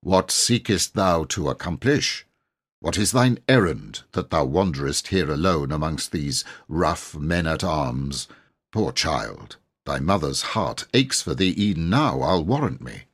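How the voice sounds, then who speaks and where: A man's voice: extremely low pitch, very animated, measured pace, slightly rough, full character voice. A man voicing a kindly, gallant medieval knight who declaims in pompous, courtly, archaic fashion for a storybook.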